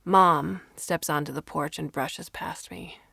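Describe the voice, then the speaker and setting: A woman narrates a first-person short story with dramatic expression. Her voice sits a little low and has a clear creak. The recording is dry and close, with no room sound. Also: fairly even intonation, slow, pushed and bright, dry recording.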